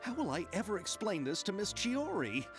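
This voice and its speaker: Earnest, chatty young game character: highish pitch, hugely lively intonation, polite, theatrical enthusiasm. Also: male voice, normal speed, over music. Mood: worried, polite. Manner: effusive, theatrical, explanatory. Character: excitable, polite young male festival organiser, chatty and earnest. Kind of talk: video game character acting.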